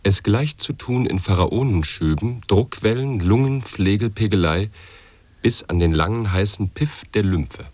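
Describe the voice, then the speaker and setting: A man's voice: lowish voice, expressive, measured pace, a little mellow, dry recording. An actor playing a theatrical man intoning absurd, mock-learned verse in an experimental radio drama. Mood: portentous.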